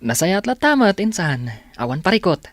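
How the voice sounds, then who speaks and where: A man's voice: high voice, very animated, moderate pace, no room sound. An actor playing an easygoing, teasing young male cousin in an Ilocano radio drama.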